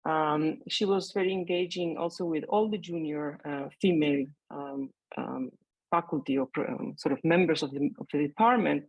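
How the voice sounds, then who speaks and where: Middle-aged woman, lowish voice, dry sound, chatty and halting, joining a panel by video call. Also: creaky, a little mellow, husky, dry recording, rough recording. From Argentina. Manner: conversational, hesitant with many fillers. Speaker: panelist, mathematician. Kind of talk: remote panel remarks.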